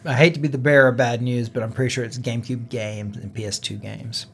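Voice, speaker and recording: A man's voice: medium pitch, fairly even intonation, quick pace, slight background noise. A man with a mid-range voice co-hosts a gaming podcast. He talks in a casual, conversational style, as in an easy chat with a fellow host.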